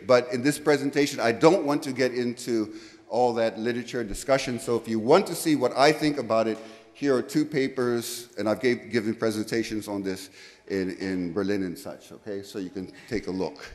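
A man's voice: fairly low pitch, brisk, darkish tone. A middle-aged man from the United States giving a conference presentation into a handheld microphone, rambling and correcting himself, often checking in with "okay?". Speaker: conference speaker. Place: large lecture room, indoors. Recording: reverberant hall.

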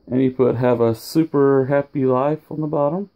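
A man's voice: mid-range voice, steady tone, normal speed, gravelly, dark tone. A man casually showing off his autograph collection at home, rambling and often losing his train of thought. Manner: rambling, loses track, self-corrects. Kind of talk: casual home video show-and-tell. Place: indoors at home, over a sofa.

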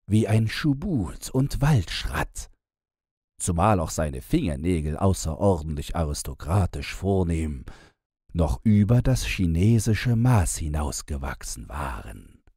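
A man narrating a bedtime fairy-tale audiobook in a measured, wry storytelling manner. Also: low voice, sing-song, dark tone, breathy edge, dry recording, studio quality.

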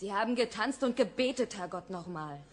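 A woman playing a sharp-tongued, scornful actress and pagan queen in a radio play. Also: mid-range voice, animated, brisk, close to an everyday voice. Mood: imperious, bitter. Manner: scornful, blunt. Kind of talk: German radio drama acting.

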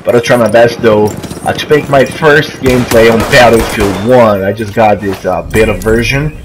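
Brazilian man with noisy audio. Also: fairly low pitch. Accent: Brazilian Portuguese. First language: Portuguese. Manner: halting, searching for words, many restarts. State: coughing from allergy. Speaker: gamer. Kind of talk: casual gameplay commentary. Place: friend's house while traveling. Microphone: makeshift mic, not his usual. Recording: noisy, poor-quality home recording.

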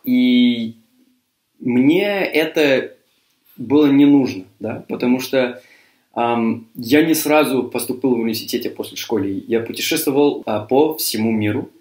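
A young man who grew up in Cyprus and speaks English as his first language talks through his answers in a learned language. He hesitates and restarts often, in a mid-pitched voice. The room around him is echoey. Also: a little slow, a little mellow. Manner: hesitant, many fillers and restarts. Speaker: university student.

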